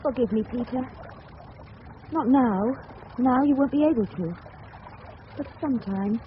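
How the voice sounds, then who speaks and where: Casting: actress as desperate English suburban murderess, high voice, halting melodramatic delivery, noisy vintage radio sound. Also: female voice, natural voice. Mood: resigned. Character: desperate, overwrought married murderess in 1930s suburbia. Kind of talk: radio drama acting. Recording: muffled, noisy old radio recording.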